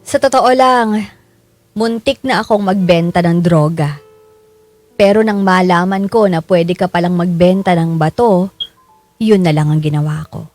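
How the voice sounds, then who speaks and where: A woman's voice: lowish voice, unhurried, long pauses, no echo, lightly acted. A Filipino woman narrating as a desperate, devoted father, earnest and confessional, in a scripted drama. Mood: regretful, resolute. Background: soft music.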